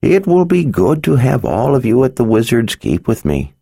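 A man voicing a gentle, grandfatherly old male wizard who speaks fondly and reassuringly in a storybook reading. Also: mid-range voice, wildly swooping pitch, moderate pace, gravelly, breathy edge, no room sound, studio quality, voice pushed up, full character voice. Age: over 60.

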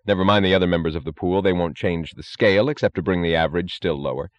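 Man reading as a cold, deep-voiced, unbothered industrialist. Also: muffled recording, close to an everyday voice. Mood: cold, calm. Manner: slow, cold, indifferent, matter-of-fact. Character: calm, blunt, defiant male steel industrialist, coldly logical.

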